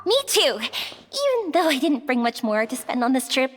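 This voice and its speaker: An actress voicing a cheerful, eager young woman in game dialogue. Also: moderate pace. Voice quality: high, lively, eager. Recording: reverberant with music behind.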